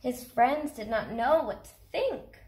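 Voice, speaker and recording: A woman's voice: a little high, lively intonation, unhurried, a little mellow, roomy sound. A woman in her thirties reading a picture book aloud to young children, expressively, with simple questions for the listeners. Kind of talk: children's read-aloud storytelling. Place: small cardboard fort indoors.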